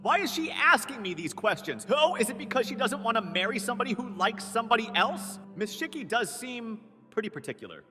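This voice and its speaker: A man playing a nervous, earnest, lovesick twenty-four-year-old in an animated comic dub, narrating his own self-doubting thoughts. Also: very high voice, a little fast, big-room sound, exaggerated voice. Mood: awkward, uneasy. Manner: earnest, self-doubting inner monologue with startled outbursts. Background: background music.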